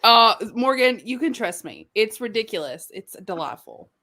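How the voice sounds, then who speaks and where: Lively, teasing woman with animated pitch. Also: moderate pace. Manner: teasing, blunt. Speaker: book reviewer co-hosting a live chat. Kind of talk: casual chat.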